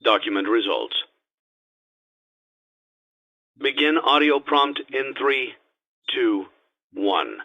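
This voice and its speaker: A man voicing a calm, grave instructor on cassette training tapes for a video game, speaking formally in a measured, matter-of-fact and ominous way. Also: mid-range voice. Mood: procedural, detached. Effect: cassette tape filter. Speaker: instructional tape narrator. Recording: dull, lo-fi cassette tape sound.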